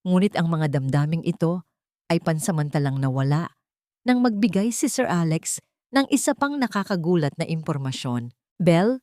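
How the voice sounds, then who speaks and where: Woman narrating a tearjerker audiobook, lowish voice, steady and dramatic, dry close mic. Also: moderate pace, dry recording. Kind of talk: scripted first-person drama narration.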